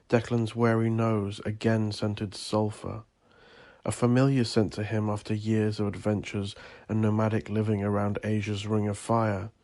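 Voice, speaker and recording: A man narrating a scripted horror story in a theatrical, deliberate, ominous manner. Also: fairly low pitch, vocal fry, darkish tone. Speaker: audio drama narrator.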